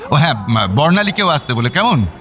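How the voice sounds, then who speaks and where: An actor playing an affectionate, fussy older father in a radio drama, gentle and hesitant. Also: a little high, big pitch swings, brisk, soft background music. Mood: affectionate, wistful.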